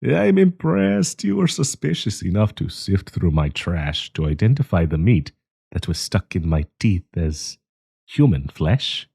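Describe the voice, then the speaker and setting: Casting: male actor as genteel old professor, secretly predatory; deep, swooping delivery, deliberate and playful, for intimate roleplay audio. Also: very animated, creaky, a little mellow, slightly rough, exaggerated voice. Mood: sly, menacing. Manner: courtly, teasing, chuckling, deliberate. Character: kindly old male professor hiding a predatory, possessive menace.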